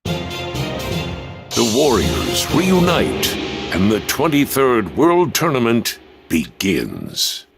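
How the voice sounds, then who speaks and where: An actor delivering solemn, dramatic scripted narration for an anime game. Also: male voice, mid-range voice, wildly swooping pitch, very slow, vocal fry, pauses often, over music, full character voice.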